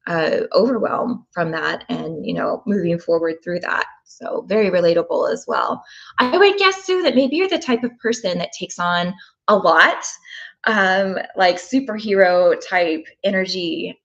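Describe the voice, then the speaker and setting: Woman host, lowish gravelly voice, chatty with many ums, slightly dull lo-fi sound. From Oklahoma. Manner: warm, thinking aloud with frequent ums. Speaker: tapping session host. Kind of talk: live-stream chat, reading comments.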